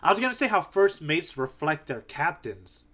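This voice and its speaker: Bright-voiced man chatting as podcast co-host. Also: on the high side, big pitch swings, moderate pace. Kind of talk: casual chat, manga discussion.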